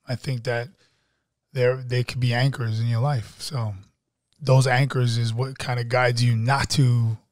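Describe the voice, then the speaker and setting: A man's voice: mid-range voice, little pitch movement, a little slow, no echo, studio quality. A young man from Queens, talking casually as an interview guest, streetwise and full of restarts and "you know"s. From Queens, New York. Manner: streetwise, repeats words and restarts, says "you know" a lot. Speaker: actor guest.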